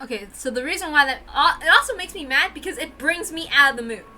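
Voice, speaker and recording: A woman reading a visual novel aloud in an expressive, informal way, dramatic in narration and casual in asides. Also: sits high, quick pace, a little hiss or hum.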